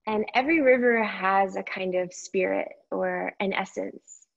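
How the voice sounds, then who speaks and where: Slow-paced woman guiding meditation, muffled audio. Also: mid-range voice, vocal fry. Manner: gentle, unhurried, with long pauses between short phrases. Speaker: yoga and meditation teacher. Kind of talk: guided meditation instruction.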